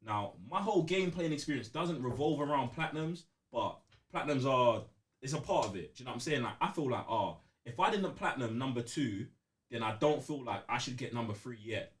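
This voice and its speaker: A man's voice: medium pitch, expressive, fairly quick, recorded from a distance. A man chatting casually on a gaming podcast, using plenty of slang and repeating himself. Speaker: podcast co-host.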